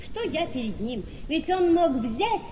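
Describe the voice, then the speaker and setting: An actress playing a trusting, naive and genteel young wife in a radio play, earnest and artless. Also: female voice, high voice, big pitch swings, measured pace, warm tone, big-room sound. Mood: naive, puzzled. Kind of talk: theatrical radio play acting. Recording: clearly noisy radio play recording.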